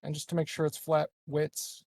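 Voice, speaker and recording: A man's voice: fairly high pitch, monotone, normal speed, vocal fry, a little mellow, dry recording, studio quality. A man talking casually as a player in a tabletop roleplaying game. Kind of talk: casual chat.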